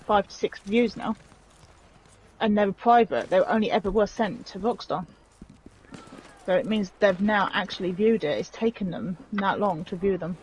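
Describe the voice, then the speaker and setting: A woman's voice: medium pitch, a little mellow, slight background noise, poor audio. A woman joining a stream from off the main mic, talking in an aggrieved way and going over every detail of her complaint. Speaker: stream guest. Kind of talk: casual conversation.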